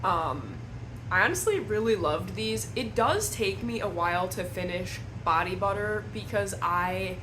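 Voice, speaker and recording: Young woman a bit hoarse from a cold, rambly chatty vlog talk, takes her time. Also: medium pitch, animated, measured pace, fairly bright tone, slight background noise, low-quality recording. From Wisconsin. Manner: rambling, chatty, self-deprecating asides. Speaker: beauty vlogger. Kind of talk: casual chat to camera. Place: at home indoors.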